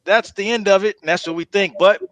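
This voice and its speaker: Higher-pitched man narrating a script. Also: steady tone, fairly quick, a bit bright, slight background noise. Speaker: narrator of a table read. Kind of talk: scripted narration with loose improvised asides.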